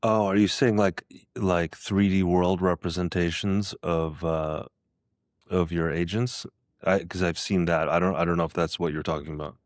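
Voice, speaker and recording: A man's voice: low-pitched, fairly even intonation, normal speed, dry recording, clean studio sound. A man talking as a podcast guest in a casual conversation, hesitant, with many fillers and restarts. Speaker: guest, iOS app developer.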